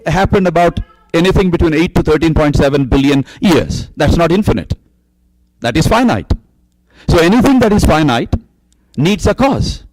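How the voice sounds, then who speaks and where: A man's voice: high voice, a little mellow, no echo, poor audio. Emphatic male church speaker, dry sound. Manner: emphatic, rhetorical questions to the audience. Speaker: guest speaker answering questions. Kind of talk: preaching Q&A answer. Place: church hall.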